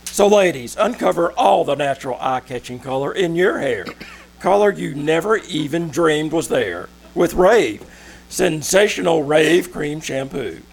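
Man as a gravelly vintage radio pitchman. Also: expressive, heavily acted. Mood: persuasive. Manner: emphatic, measured sales patter. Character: persuasive old-time radio pitchman. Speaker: commercial announcer. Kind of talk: 1940s radio commercial.

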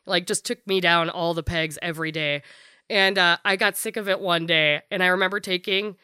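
A woman in her thirties with a deep voice, talking frankly and bluntly as a podcast guest, swearing freely and using lots of fillers. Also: steady tone, normal speed, sharp tone, clear, resonant tone, no room sound, studio quality. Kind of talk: casual candid conversation.

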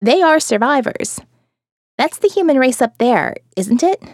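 A woman's voice: sits high, sing-song, measured pace, no room sound, clean studio sound, full character voice. A woman playing a smart, wary survivor who narrates her own diary in audio fiction, wry and challenging. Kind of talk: first-person audio fiction narration and dialogue.

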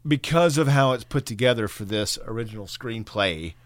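A man co-hosting a film podcast, explaining things in a casual, halting, thoughtful way. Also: mid-range voice, dry recording.

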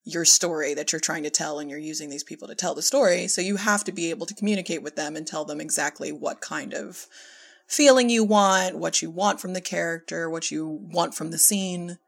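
A woman talks in a fairly low register with clear vocal fry. Her delivery is conversational and explanatory, and she stresses her points as a guest in a casual discussion. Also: a little fast. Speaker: podcast guest, director and former filmmaking teacher.

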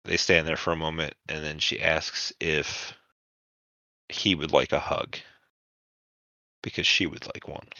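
A man narrating a tabletop roleplaying session as game master, in a halting, informal storytelling manner. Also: very deep voice, flat delivery, creaky, bright, forceful tone, dry recording, highs cut off.

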